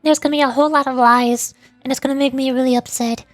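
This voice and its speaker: A woman's voice: sits high, steady tone, quick pace, no room sound, faint music behind. A young woman doing casual livestream game commentary as a VTuber, playful, teasing and rambling.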